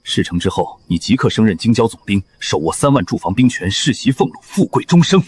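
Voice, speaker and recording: A man playing a minister's menacing envoy with a husky voice in a Chinese costume drama. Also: on the low side, very animated, fairly quick. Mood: coaxing, threatening. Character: menacing silky henchman envoy of a scheming minister.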